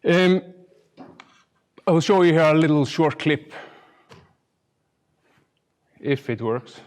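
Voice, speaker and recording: Middle-aged Icelandic man, slightly high voice. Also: slow pace, darkish tone. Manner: self-deprecating, dry humour, hesitant. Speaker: conference speaker, UX designer. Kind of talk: informal conference talk with slides.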